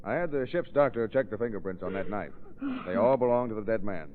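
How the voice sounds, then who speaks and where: An actor playing an assured, formal ship's captain in a noisy 1930s radio drama. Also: male voice, lowish voice, lively intonation, fast, narrow, tinny audio, a crowd in the background, lightly acted. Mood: official, assured. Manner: formal, firm.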